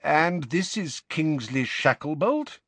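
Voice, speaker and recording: A man voicing a mild, courteous wizard mentor in a storybook, calm and explanatory in manner. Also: on the high side, slow, breathy edge, no echo, pitched above the performer's own voice, exaggerated voice. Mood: polite introducing.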